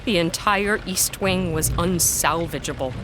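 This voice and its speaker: A woman's voice: lowish voice, measured pace, noisy background, lightly acted. An actress playing a determined, principled woman historian in a gothic audio drama, earnest and headstrong. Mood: grave narration. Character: determined, indignant woman historian, also narrating.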